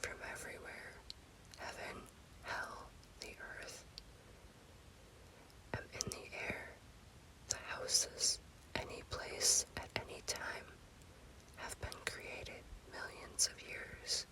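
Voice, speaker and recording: A woman's voice: pushed and bright, rough recording. Woman whispering as a restless witch spirit. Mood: boastful. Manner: whispered, slow declarations. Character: restless, boastful spirit of a witch haunting a family.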